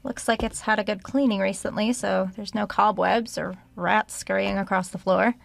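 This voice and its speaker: A woman's voice: medium pitch, steady tone, moderate pace, creaky, dry recording. An actress playing a curious, determined woman writer, polite and dryly wry, in an audio drama. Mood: wry, reflective. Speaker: writer investigating a haunted house. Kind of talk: audio drama acting, diary narration.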